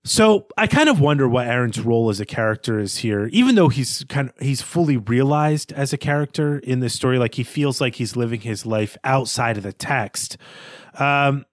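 A man's voice: mid-range voice, expressive, moderate pace, dry recording, studio quality. A man co-hosting a podcast, explaining and thinking aloud in a conversational literary discussion. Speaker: podcast co-host.

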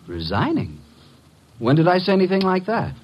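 Husky, lively radio detective's sidekick. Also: male voice, high voice, normal speed, exaggerated voice. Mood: puzzled. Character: wisecracking, easygoing male detective's assistant. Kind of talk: 1950s radio detective drama acting.

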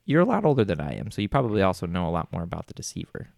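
A young man voicing his character in a tabletop game, chatty and loose with his phrasing. His low voice has a gravelly edge and swings around with lively pitch. It is recorded close and dry. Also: very fast, dry recording. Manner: casual, chatty, with frequent restarts and laughs. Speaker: player.

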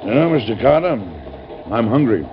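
An actor playing a genial but blustery, grumbling president in an old radio comedy-drama, with a folksy manner. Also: male voice, mid-range voice, lively intonation, moderate pace, lightly acted. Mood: indignant. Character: genial, blustery, hungry president and family father. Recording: muffled, noisy old radio recording.

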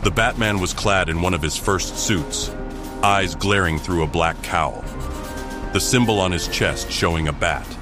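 A man's voice: low voice, a little slow. A man narrating a scripted crime mystery audio drama as a grave storyteller. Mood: grave, suspenseful. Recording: background music under the voice.

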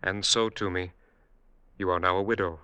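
An actor playing a scheming, coldly triumphant brother-in-law in a formal, declamatory 1950s radio drama style. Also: male voice, deep voice, fairly even intonation, moderate pace, sharp tone, lo-fi sound, a little hiss or hum. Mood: mock solemn. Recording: vintage radio drama recording.

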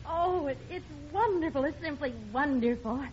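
A woman's voice: high voice, moderate pace, darkish tone, lightly acted. A woman playing a modest, flustered, polite housewife in 1950s radio sitcom style. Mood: overjoyed. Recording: muffled, hissy old radio broadcast.

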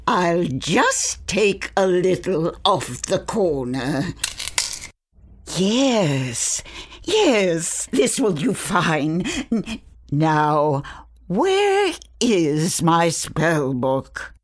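Lady acting eccentric old witch granny, slow talker, really swoopy pitch, mutters and gets exasperated, fantasy audio drama. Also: very animated, heavily acted. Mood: muttering, absorbed. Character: eccentric old grandmother witch in a magical realm.

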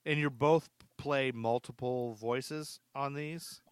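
A man hosting a podcast in casual, chatty, loosely phrased talk, quick to laugh. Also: medium pitch, steady tone, clean tone, no room sound, clean studio sound. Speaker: podcast host.

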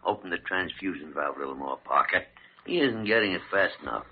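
Low, crusty old radio doctor. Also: male voice, lively intonation, brisk, slight background noise. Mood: anxious, urgent. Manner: blustery, exasperated. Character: crusty, gruff, devoted old senior male doctor. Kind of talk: old-time radio drama acting. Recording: muffled old radio recording.

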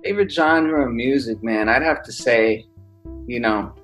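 A man's voice: medium pitch, a little slow, fairly bright tone, soft background music, rough recording. A young man, a singer, answering interview questions in a laid-back way, with frequent fillers. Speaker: singer guest. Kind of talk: casual interview.

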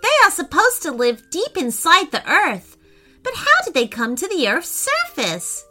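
An earnest and excitable storybook boy acted by a woman, urgent in manner. The voice sits high and bright, with lively jumps in pitch. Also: unhurried, faint music behind, exaggerated voice. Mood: puzzled. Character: earnest, excitable young boy adventurer Declan. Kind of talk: children's storybook character voice.

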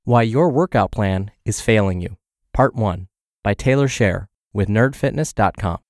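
A man's voice: fairly low pitch, lively intonation, measured pace, darkish tone, no room sound, studio quality. A man hosting a podcast, reading health and fitness blog posts aloud while congested and scratchy from the flu. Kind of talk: read-aloud narration.

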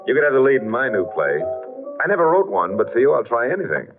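A man's voice: mid-range voice, big pitch swings, fast. An American actor playing a brash, flirtatious detective's legman in a 1950s radio drama, with a breezy, wry delivery. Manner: breezy, wry, smooth-talking. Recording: muffled old radio broadcast with music.

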